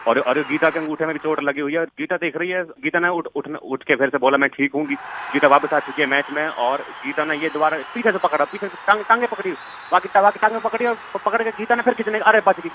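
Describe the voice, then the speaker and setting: Man, raised pitch, halting repetitive commentary, dull dry phone sound. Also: steady tone, background noise. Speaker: amateur wrestling commentator. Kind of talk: improvised sports commentary. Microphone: telephone.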